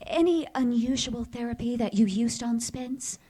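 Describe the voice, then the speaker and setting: A woman's voice: a little high, normal speed, dark tone, natural voice. An actress playing a composed, assertive woman doctor in a 1940s radio detective drama, dry and direct. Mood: urgent, professional. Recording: live stage recording with faint noise.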